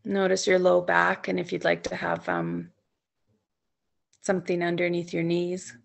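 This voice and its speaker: A woman with a heavily creaky, fairly low voice leads a yoga nidra for sleep. She speaks in soothing, gently coaxing phrases and leaves long pauses between her instructions. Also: monotone. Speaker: yoga nidra instructor leading a guided meditation. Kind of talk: guided sleep meditation, softly spoken instruction.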